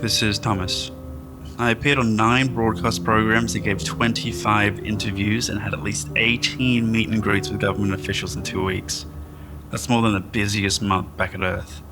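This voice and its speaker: A man playing a weary, anxious space traveler, recounting his days in a halting, understated audio drama journal. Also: on the low side, noisy background, lightly acted. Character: weary, anxious man awakened from centuries of cryosleep.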